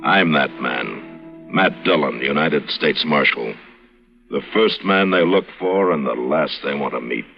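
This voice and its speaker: An actor playing a stern, lonely frontier marshal in a 1950s radio drama, measured and firm. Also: male voice, rumbling low, gravelly, soft background music, full character voice. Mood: solemn. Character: stern lonely frontier lawman, middle-aged man. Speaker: US marshal character. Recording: dull old radio broadcast recording.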